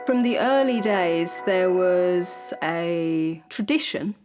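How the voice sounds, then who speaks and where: A woman's voice: lowish voice, slow, over music. A woman gives explanatory interview commentary in a documentary. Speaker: interviewed commentator on newsreel history.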